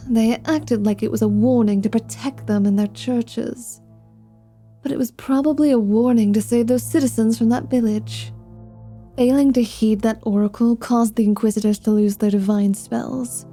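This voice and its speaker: Flirty vampire lady woman acting it, super mellow voice, slow delivery, wry and sweary, asmr fantasy rp. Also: on the high side. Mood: focused, exasperated. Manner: sweary, teasing, impatient. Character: flirty, sardonic, wicked-smart female vampire rogue.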